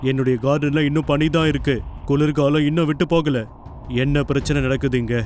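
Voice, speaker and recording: A man voicing a selfish, self-important male giant in a children's animated story, speaking in a declamatory way. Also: fairly high pitch, fairly quick, slight background noise, close to an everyday voice. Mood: puzzled. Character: selfish male giant, possessive of his garden. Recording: music behind the voice.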